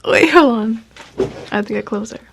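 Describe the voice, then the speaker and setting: A woman with a higher, gravelly voice jumps up and down in pitch as she giggles and protests. She speaks slowly and sits away from the mic. Clear noise hangs behind her. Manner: giggly, bashful, exclamatory. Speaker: podcast co-host. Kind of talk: casual joking chat among friends.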